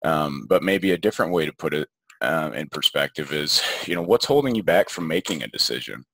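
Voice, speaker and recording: A man presenting an informal educational webinar over slides as a health educator, in a halting way. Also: very deep voice, lively intonation, creaky, fairly bright tone, husky, no echo.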